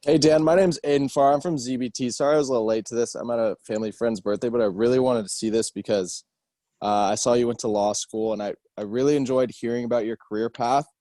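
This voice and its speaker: Young adult man in the audience, hesitant questioner, mellow tone, dry close sound on a video call. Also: medium pitch, steady tone, fast talker, dry recording. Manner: hesitant, with fillers and self-corrections. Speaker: student audience member. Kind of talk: asking a question in a webinar.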